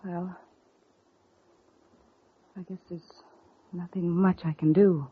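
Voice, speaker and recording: A woman's voice: lowish voice, level tone, slow pace, breathy edge. An actress playing a tense, guarded woman in a 1940s radio crime drama, speaking hesitantly. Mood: guarded, defeated. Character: tense, guarded woman caught in a murder plot. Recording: tinny, muffled vintage radio recording.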